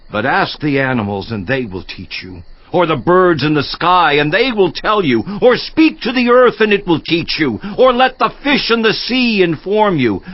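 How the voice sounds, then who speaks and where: An actor playing an anguished righteous man protesting his innocence, in a declamatory, impassioned dramatized scripture monologue that drops to hushed intensity. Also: on the high side, no echo, lo-fi sound.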